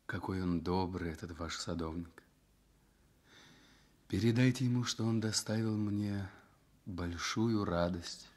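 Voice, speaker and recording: An actor in a Soviet radio drama playing a lonely, gentle, courteous journalist in a hospital bed, quietly in love. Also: male voice, low-pitched, measured pace, pauses often. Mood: grateful.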